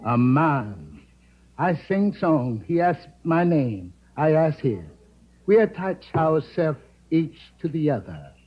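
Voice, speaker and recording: A man's voice: a little high, very animated, slow pace, breathy edge, exaggerated voice. A man playing a loyal, easygoing Caribbean singer and sidekick who speaks deferentially in broken English, 1950s radio drama style. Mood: fond, reflective. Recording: dull, lo-fi vintage radio broadcast.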